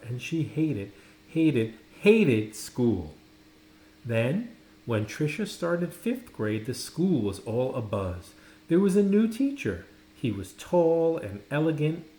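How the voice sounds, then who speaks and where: A man reading a children's story aloud, dramatically, with pauses and stressed words. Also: fairly high pitch, dark tone. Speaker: storybook reader. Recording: roomy echo, faint background noise.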